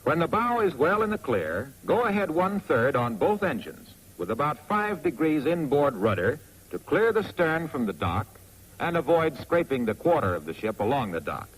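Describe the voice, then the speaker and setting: An American man narrates a 1940s training film in a scripted, instructional style. His voice sits a little high and moves with animated intonation. It has a husky grain and a wry edge, heard on a vintage film soundtrack. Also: normal speed, rough recording.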